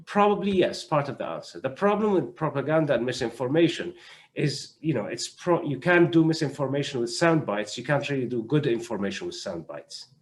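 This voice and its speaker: A male doctor speaking as a panelist in an online discussion, explaining in a measured, halting way and often repeating words as he goes. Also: mid-range voice, lively intonation. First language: not French (French is third language). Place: online video conference.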